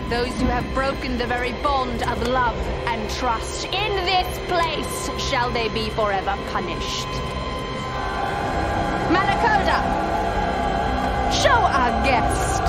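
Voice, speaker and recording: An actress playing a cold, imperious queen of hell who condemns solemnly in a dramatic video game. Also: high-pitched. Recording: echoing hall reverb, loud music.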